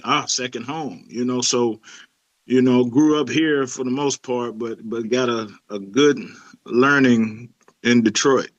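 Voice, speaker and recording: A man's voice: medium pitch, no echo, muffled recording. A middle-aged man, a youth pastor, talking casually in an interview, laid-back, with many fillers and restarts. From Lebanon.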